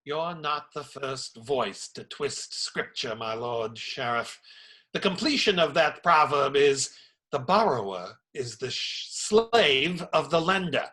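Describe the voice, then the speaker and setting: An actor playing an earnest, righteous priest who admonishes firmly in a radio play. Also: male voice, medium pitch, sing-song, takes it slowly, bright, forceful tone, exaggerated voice. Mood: righteous indignation.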